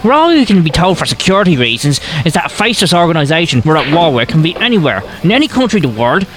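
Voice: mid-range voice, sing-song, fast talker, natural voice, poor audio. An actor playing a cocky, opinionated young male student who rambles chattily in an audio drama. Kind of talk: audio fiction acting.

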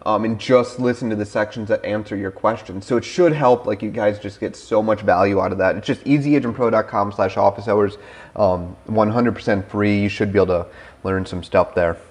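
A man co-hosting a podcast, talking casually with lots of fillers and quick laughs over a remote call. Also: lowish voice, fairly even intonation, husky. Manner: fast, filler-heavy, laughing easily. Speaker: co-host. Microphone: remote call mic.

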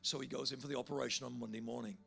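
Male preacher with a big voice and a heavy gravelly edge, bright and forceful tone, music under him. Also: medium pitch, racing. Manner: animated storyteller, building to loud fervent altar call. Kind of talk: sermon with storytelling.

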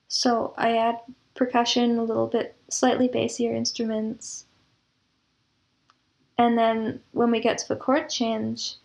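Female interviewee, higher register with strong vocal fry, reflective and descriptive delivery. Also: darkish tone. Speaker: guest composer and audio drama producer.

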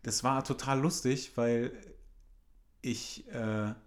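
A man's voice: mid-range voice, fairly even intonation, slow pace. A man in his late thirties telling a casual anecdote, rambling with frequent fillers. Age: 30s.

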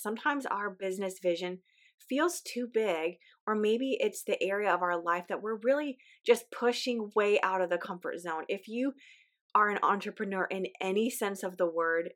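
Brief: woman host, voice weak from illness, earnest and encouraging, middle pitch. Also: a bit bright. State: weak, recovering voice. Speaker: podcast host. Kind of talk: casual podcast monologue.

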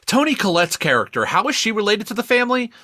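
A man co-hosting a podcast, chatting casually and profanely about films in an emphatic, rambling, excitable way. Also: high voice, fast, no echo, clean studio sound. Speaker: podcast co-host. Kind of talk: casual, profane film review chat.

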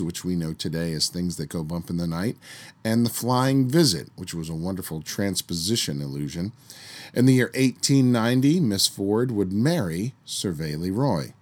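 Man hosting a history podcast, hoarse from a cold. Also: on the low side. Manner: conversational, with fillers and restarts while recounting history. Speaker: podcast host. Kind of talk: solo history podcast narration.

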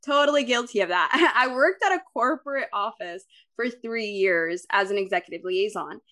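Energetic woman podcast guest. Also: mid-range voice, normal speed. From Dominican Republic. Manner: energetic, upbeat, laughs often. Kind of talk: casual podcast interview.

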